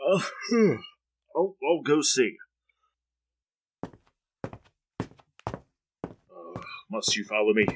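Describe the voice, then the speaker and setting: A man's voice: medium pitch, sing-song, slow, slight background noise. A man playing an irritable, scolding middle-aged man obsessed with his plants, in 1940s radio drama style. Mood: groggy, cross. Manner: scolding, impatient, sputtering.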